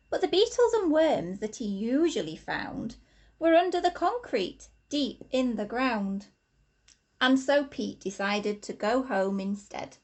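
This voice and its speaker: A woman teacher reads a children's story in a high, very lively voice. Her delivery is slow and deliberate, with sing-song stress on the rhymes. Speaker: infant school teacher reading a story. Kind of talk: expressive children's storybook reading.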